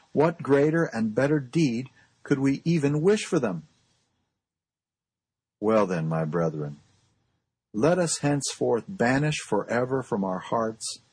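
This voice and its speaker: A man reading a formal sermon aloud in a solemn, measured and earnest oratorical manner. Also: fairly low pitch, darkish tone, breathy edge, dry recording. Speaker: pastor reading a historic sermon.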